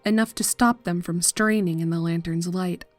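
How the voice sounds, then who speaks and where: Narrator: woman, lowish register, measured dramatic horror storytelling over soft music. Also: fairly even intonation, no room sound.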